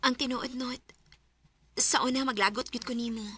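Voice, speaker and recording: An actress playing a lovesick young female student in a radio drama, often musing in hushed whispers. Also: a little high, fairly even intonation, moderate pace, husky. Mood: tender. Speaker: lead character and narrator. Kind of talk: Visayan radio drama acting.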